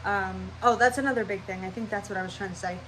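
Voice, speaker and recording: A woman's voice: medium pitch, steady tone, fast talker, a bit bright, a little hiss or hum, low-quality recording. A young woman with a stuffy, nasal voice, rambling casually through her channel intro at home. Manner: scatterbrained, rambling, chatty. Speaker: vlogger.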